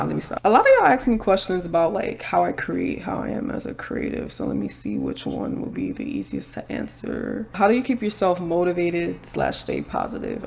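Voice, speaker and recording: A woman's voice: mid-range voice, vocal fry, a little mellow, slight background noise. A woman, chatting casually and candidly while doing her makeup. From Brooklyn, New York. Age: 20s. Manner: chatty, candid, slangy asides. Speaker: vlogger.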